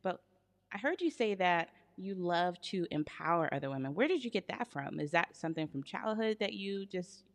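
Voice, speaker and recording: A woman hosting a podcast, asking her guest questions in a warm, encouraging way. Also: on the low side. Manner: warm, encouraging, asks questions with gentle restarts. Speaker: podcast host. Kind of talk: interviewing a guest.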